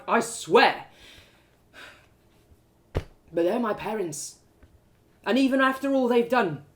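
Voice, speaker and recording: A woman voicing an earnest young man torn by guilt, pleading haltingly in a story. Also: medium pitch, natural voice. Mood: guilty, earnest. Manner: halting, repeating words as he pleads.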